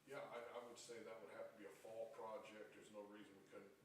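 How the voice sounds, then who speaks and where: A soft-spoken man giving a halting police report to a town council, away from his microphone. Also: lowish voice, level tone. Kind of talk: spoken report at a public meeting. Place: council meeting room. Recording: echoey room, voice far from mic, faint noise.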